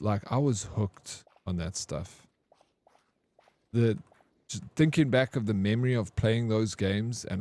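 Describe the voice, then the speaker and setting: A young man with a Johannesburg South African accent, live-streaming a game and chatting excitedly with his chat in slangy, casual talk. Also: on the low side, no room sound.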